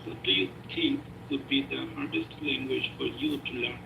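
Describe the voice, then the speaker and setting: A man's voice: mid-range voice, big pitch swings, normal speed, gravelly, echoey room, lo-fi sound, background noise. A man on a video call reading out practice test questions in a brief, hesitant way. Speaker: practice examiner asking questions.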